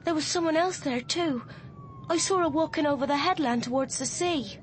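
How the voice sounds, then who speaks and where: A woman's voice: high-pitched, moderate pace, slight background noise, lightly acted. An actress playing a frightened, insistent young girl confessing in a radio drama. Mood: urgent, confessing.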